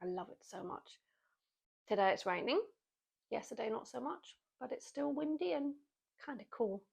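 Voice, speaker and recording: A woman's voice: a little high, animated, moderate pace, creaky, breathy edge. Chatty British woman in her forties. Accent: British English. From Britain. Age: 40s. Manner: chatty, self-mocking, wry asides. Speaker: vlogger. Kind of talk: casual chatty monologue to camera.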